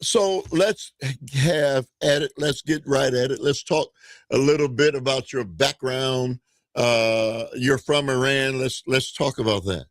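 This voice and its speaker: A man hosting a live talk show, interviewing a guest in a deliberate, halting way. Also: medium pitch, dry recording, clean studio sound. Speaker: radio talk show host.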